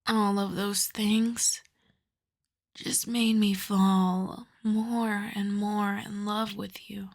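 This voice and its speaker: A woman voicing a seductive, lovestruck vampire in a soft, breathy, intimate ASMR whisper, coaxing and doting. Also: medium pitch, flat delivery, drawn-out words. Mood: lovestruck confiding.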